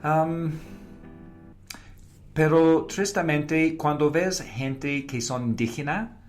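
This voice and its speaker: A man from Canada, a native English speaker with a foreign accent in Spanish, answering interview questions haltingly and slipping in English words. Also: a little high, soft background music. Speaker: interview guest, advertising creative and professor.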